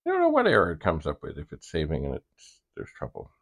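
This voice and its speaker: A man hosting a hobby demonstration, thinking aloud in a casual, halting way and muttering asides to himself. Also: a bass voice, huge pitch swings, gravelly. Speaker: vintage computer hobbyist host.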